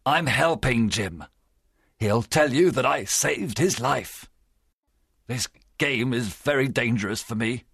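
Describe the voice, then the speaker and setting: A man voicing a bold, wily pirate leader in an audiobook, loud and wheedling. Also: on the high side, big pitch swings, unhurried, slightly rough, dry recording, clean studio sound, pitched above the performer's own voice, full character voice. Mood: earnest pleading. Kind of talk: audiobook character acting.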